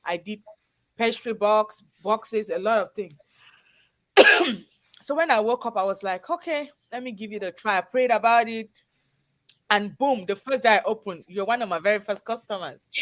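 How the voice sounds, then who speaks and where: A woman's voice: fairly high pitch, expressive, a little slow, long pauses, fairly bright tone. A woman with a Cameroonian accent telling her personal story as a guest in a live interview, earnest and conversational. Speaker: guest, chef and business owner.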